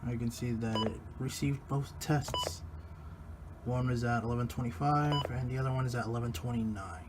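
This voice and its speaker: Male hobbyist vlogger, average pitch, casual muttered commentary, noisy phone recording. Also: steady tone, normal speed. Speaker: radio hobbyist filming videos. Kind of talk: casual unscripted vlog chat.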